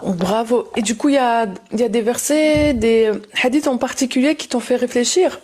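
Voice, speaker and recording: A woman hosting a live discussion, talking briskly and directly as she questions her guests. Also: fairly high pitch, a little mellow. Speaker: host.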